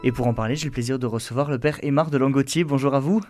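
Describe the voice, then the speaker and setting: Male radio interviewer, medium pitch. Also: very fast, music in the background. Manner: polite, formal address. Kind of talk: prepared interview questions.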